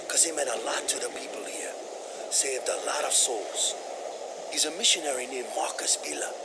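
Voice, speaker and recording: Creaky, high-pitched beleaguered man. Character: wary, hot-tempered, beleaguered village survivor. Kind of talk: video game cutscene acting.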